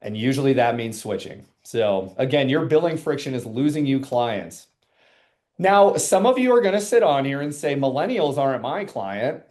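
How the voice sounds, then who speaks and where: A man in his forties presenting statistics in a lively webinar and bantering with co-hosts, asking the audience questions. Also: mid-range voice, moderate pace. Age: 40s. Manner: energetic, asks the audience questions.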